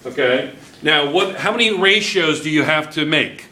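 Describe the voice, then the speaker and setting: A man's voice: fairly high pitch, lively intonation, normal speed, bright, forceful tone, slight background noise. Man teaching chemistry in an echoey classroom. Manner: encouraging, questioning students constantly. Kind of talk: classroom lesson at whiteboard. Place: classroom with whiteboard. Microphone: far camera. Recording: roomy classroom from a distant camera.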